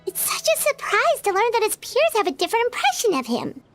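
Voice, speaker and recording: A woman voicing a small, childlike fairy companion in a video game, chirpy and cheeky with a squeaky tone. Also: big pitch swings, brisk, a bit bright, faint music behind, heavily acted. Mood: musing. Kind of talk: video game character acting.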